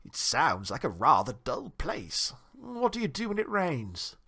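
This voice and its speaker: A man's voice: a little high, huge pitch swings, measured pace, gravelly, pushed and bright, breathy edge, exaggerated voice. Guy doing a posh-mannered storybook mouse host, formal n polite, bit huffy, very up and down. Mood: politely condescending. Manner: polite, formal, rather pompous. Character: genteel, well-mannered town mouse host, easily miffed. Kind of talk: storybook character reading.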